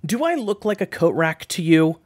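A man's voice: medium pitch, very animated, moderate pace, no room sound, voice pushed up, close to an everyday voice. A man voicing a teenage girl in a storybook, a loyal friend who is earnest, sassy and teasing. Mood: indignant.

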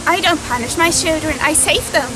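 A solemn female forest spirit, acted by a young woman with a high voice. She speaks cryptically as guardian of all creatures in a homemade fairytale film, recorded outdoors. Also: normal speed, over music, natural voice, low-quality recording. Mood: serene. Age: young adult. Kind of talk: amateur fairytale film acting. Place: outdoors in woodland.

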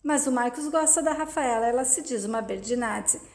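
High-voiced Brazilian woman narrating a recap. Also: a little fast, a little mellow. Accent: Brazilian Portuguese. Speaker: soap opera recap narrator. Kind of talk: reading a soap opera summary. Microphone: voice-over.

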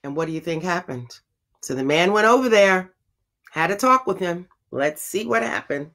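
A woman teacher reading a children's storybook aloud, with expressive phrasing and emphasized words. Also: fairly low pitch, moderate pace.

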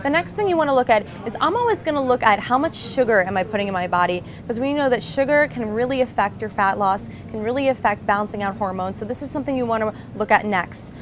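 A woman's voice: on the high side, expressive, fast, hardly any pauses. A woman in her thirties, a nutrition coach, talking the viewer through a grocery store in an upbeat, emphatic way. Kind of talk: instructional presentation. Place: large grocery store, indoors. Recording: store noise in the background.

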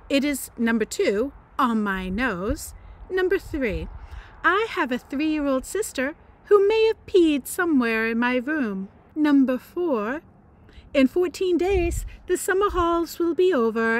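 An American woman reading aloud as a cheeky, self-absorbed teenage girl diarist, with a wry, melodramatic manner. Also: fairly high pitch. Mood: melodramatic complaining. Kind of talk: expressive first-person audiobook-style reading.

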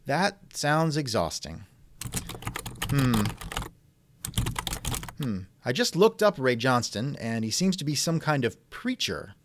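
A man's voice: mid-range voice, very animated, slow, pauses often, background noise. A man hosting a scripted podcast interview with a dry, sardonic manner, close on the microphone.

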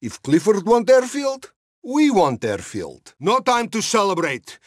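Doom-laden Russian soldier for a game. Thick broken English, deadpan, high voice, dry sound. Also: male voice, measured pace, dry recording, heavily acted. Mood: fatalistic urging. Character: gloomy, deadpan Russian military man named Bogdan. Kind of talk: video game voice acting.

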